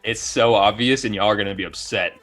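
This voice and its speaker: Low voiced dude on a group podcast, blunt and sweary, running trivia, bit of music behind. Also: male voice, fairly quick, a bit bright. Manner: blunt, profane. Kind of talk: casual group banter.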